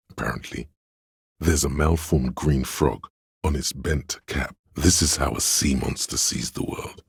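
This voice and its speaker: A man voicing a snobbish inner voice of artistic taste in a video game, gravelly and husky, with a pompous, deliberate, theatrical delivery. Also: rumbling low, dry recording, studio quality, full character voice. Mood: musing, theatrical. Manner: pompous, deliberate, disdainful, florid. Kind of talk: scripted game narration, theatrical.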